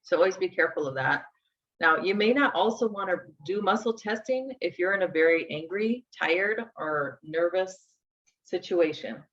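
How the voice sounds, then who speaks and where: A woman's voice: lowish voice, animated, normal speed, fairly bright tone. A woman in her forties teaching a live workshop, conversational as she talks through each step aloud. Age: 40s. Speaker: instructor. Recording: dull lo-fi sound.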